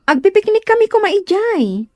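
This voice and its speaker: A woman's voice: very high-pitched, very animated, moderate pace, no room sound, clean studio sound. A woman playing a polite, nervous young friend in a comedy radio drama. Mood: polite, eager.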